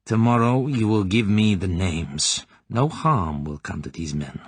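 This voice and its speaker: A man voicing a cruel middle-aged female Soviet colonel who interrogates with an oily, smooth, falsely motherly manner, dropping at times into soft whispers. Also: sits low, lively intonation, unhurried, no room sound, full character voice. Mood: cold, threatening.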